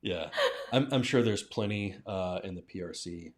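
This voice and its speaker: Very deep-voiced American man. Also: normal speed, a little mellow. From southern United States. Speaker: language teacher hosting a livestream. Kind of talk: casual live-stream discussion.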